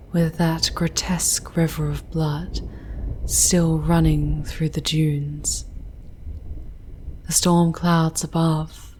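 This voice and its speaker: A woman voicing a charming, wistful female demon guide in an ASMR roleplay, with a breathy, whispery voice and poetic, formal speech. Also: low-pitched, a little mellow, exaggerated voice. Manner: slow, poetic, formal diction. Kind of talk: ASMR roleplay, soft theatrical narration.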